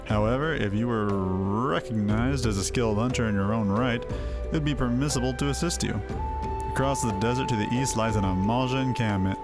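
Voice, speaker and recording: A man's voice: medium pitch, fairly quick, close to an everyday voice. A man playing a haughty desert tribe chief, music behind. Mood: stern, haughty. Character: proud, stern male desert tribe chief, veteran hunter. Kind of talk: video game voice acting.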